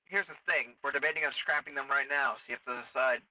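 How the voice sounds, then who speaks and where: A man improvising in-character roleplay over game voice chat, matter-of-fact, with a halting delivery. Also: mid-range voice, steady tone, sharp tone, no room sound, distant mic, rough recording. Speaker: roleplayer acting as a Star Wars officer.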